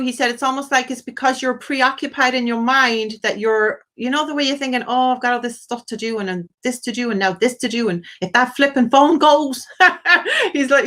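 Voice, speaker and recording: A woman's voice: on the high side, a bit bright. A woman hosting a live phone-in as a psychic medium, effusive with endearments, relaying messages to a caller. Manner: effusive, uses endearments and blessings; relays messages in quoted asides.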